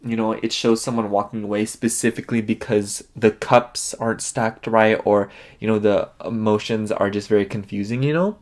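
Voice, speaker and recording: A man's voice: lowish voice, fairly even intonation, normal speed, hardly any pauses. A man giving a casual tarot reading, rambling with lots of fillers, his voice sniffly and nasal. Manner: chatty, rambling, heavy on fillers. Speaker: tarot reader.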